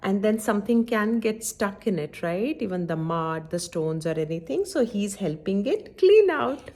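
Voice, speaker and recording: A woman reading a picture book aloud to young children, pausing to explain things and check understanding with simple questions. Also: medium pitch, sing-song, a little mellow.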